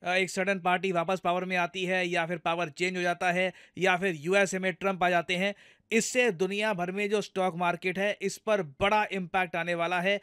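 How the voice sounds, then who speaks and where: A man's voice: sits high, flat delivery, moderate pace, pushed and bright, smooth, no echo, studio quality. A man with an Indian accent giving explanatory news commentary in a conversational way, addressing viewers directly and going step by step. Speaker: news commentator, video host.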